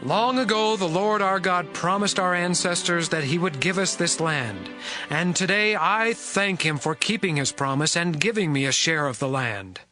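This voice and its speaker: A grateful farmer of ancient Israel declaims earnestly in a high, very bright voice. It is a dramatized scripture reading with faint music underneath. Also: male voice, normal speed.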